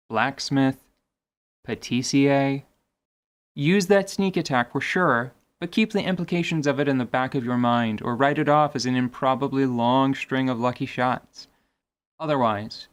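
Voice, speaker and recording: A man with a voice a little higher than most hosts a podcast about tabletop gaming. His commentary sounds partly scripted, with articulate, wordy phrasing. He slips in wry, playful asides as he goes. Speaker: podcast host.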